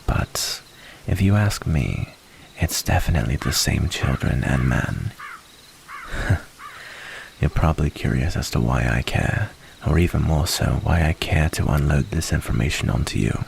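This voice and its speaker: An extremely deep male werewolf, acted by a man, wary but protective of humans. He murmurs earnest warnings in a creaky, gravelly ASMR roleplay voice, with breathy pauses. Crickets chirp behind him over a faint hiss. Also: expressive, heavily acted. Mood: confiding, ominous.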